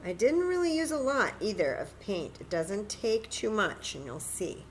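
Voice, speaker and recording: A woman's voice: mid-range voice, expressive, normal speed, noisy background. A woman hosting a children's story time, patiently and encouragingly demonstrating a craft step by step in simple, casual words.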